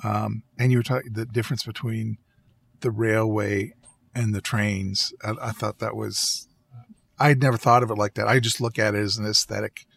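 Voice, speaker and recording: A man with a fairly low voice, husky and full of creak, talks casually on a podcast. He is easygoing and often stops mid-sentence to reword what he is saying. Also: little pitch movement, moderate pace, fairly bright tone. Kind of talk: casual conversational podcast chat.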